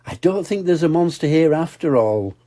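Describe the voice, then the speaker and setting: A man's voice: fairly high pitch, animated, normal speed, warm tone, voice pushed up, lightly acted. A man voicing a kind, patient mother pig in a children's storybook. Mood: gentle.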